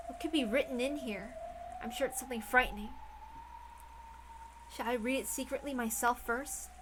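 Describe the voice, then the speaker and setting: A woman's voice: on the high side, background noise, lightly acted. A woman voicing a tense, anxious and secretive mother, hesitant and self-questioning, in a visual novel read aloud. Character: anxious, secretive adult mother, quick to scold.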